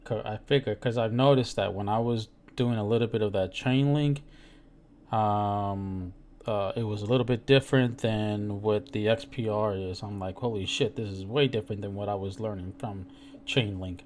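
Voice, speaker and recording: Lowish-voiced man chatting, swearing casually. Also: moderate pace, a little mellow, slight background noise. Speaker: crypto live stream participant. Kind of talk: casual chat.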